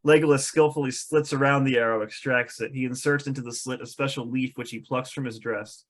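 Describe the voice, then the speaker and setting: Guy reading out stage directions at a table read, medium pitch. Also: steady tone, fairly quick. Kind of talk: scripted narration at a table read.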